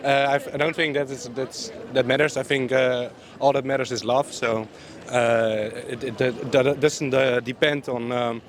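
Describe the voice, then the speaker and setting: A man in his twenties answering a street interview hesitantly, with many pauses and restarts. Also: medium pitch, steady tone. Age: 20s. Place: city street outdoors.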